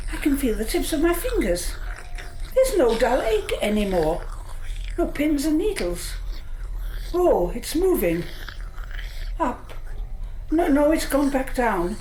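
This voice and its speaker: Woman acting high-voiced, wonderstruck scientist, echoey room. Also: very animated, slow, long pauses, dark tone, slight background noise, full character voice. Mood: wondering. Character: earnest, astonished scientist with a frostbitten hand. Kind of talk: radio drama acting. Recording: roomy, echoing sound.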